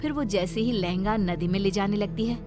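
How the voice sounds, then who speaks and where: A woman's voice: mid-range voice, fairly quick, over music. A woman storyteller reading a scripted tale as narrator for a children's story.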